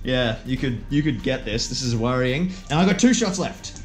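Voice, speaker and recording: A man's voice: medium pitch, animated, normal speed. Jokey man calling a tabletop game. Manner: jokey, self-mocking, exclaiming at dice rolls. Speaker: player and host of a tabletop wargame video. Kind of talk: casual play-by-play game commentary. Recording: music behind the voice.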